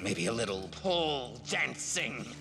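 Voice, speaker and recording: An actor voicing a flamboyant, sadistic male villain in a video game, lewd and taunting, with drawn-out words. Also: medium pitch, big pitch swings, vocal fry, noisy background. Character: leering, sadistic, flamboyant male psychopath in fetish gear. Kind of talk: video game cutscene acting. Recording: long hall reverb.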